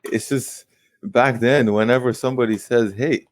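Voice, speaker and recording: A man in his late twenties, a guest investor telling stories in a casual interview with quick rueful asides. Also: medium pitch, fairly even intonation, dry recording. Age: 20s. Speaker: guest real estate investor.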